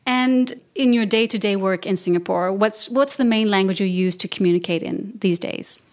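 Woman host running a radio interview. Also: mid-range voice. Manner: brisk, conversational questioning.

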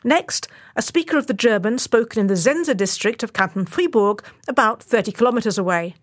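A woman reporter delivering a scripted radio feature with clear, explanatory narration. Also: on the high side, lively intonation, fairly quick.

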